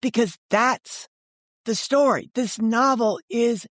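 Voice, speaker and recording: A woman's voice: a little high, takes it slowly, no echo. Older woman storyteller, dry close sound. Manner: rhetorical questions and acted-out dialogue. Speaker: guest, author and former book editor. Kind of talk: animated storytelling in a podcast interview.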